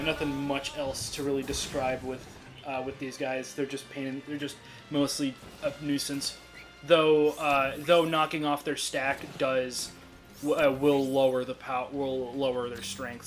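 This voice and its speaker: A man casually commentating on a game, rambling with many fillers and restarts. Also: mid-range voice. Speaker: let's play commentator. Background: game music.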